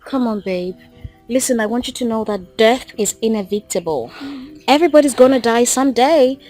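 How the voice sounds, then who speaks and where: An actress with a Nigerian accent playing an anxious, doting wife in a screen drama. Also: female voice, extremely high pitch, big pitch swings, normal speed, fairly bright tone, heavily acted. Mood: soothing, concerned. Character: anxious, doting wife comforting her crying husband. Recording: music under the dialogue.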